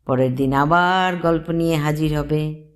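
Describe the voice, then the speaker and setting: A woman's voice: low voice, steady tone, a little slow. A woman storyteller narrating a folktale for children expressively, in colloquial language with repeated phrases.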